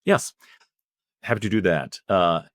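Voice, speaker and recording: A middle-aged man from Germany with a German accent, giving measured, explanatory answers as an interview guest. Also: low-pitched, dry recording, studio quality. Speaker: guest, company founder.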